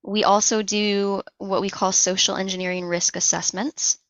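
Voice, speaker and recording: A woman's voice: medium pitch, flat delivery, moderate pace, a bit bright, no room sound, highs cut off. A woman being interviewed, explaining her work step by step in a conversational way with examples. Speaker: interview guest, social engineering analyst.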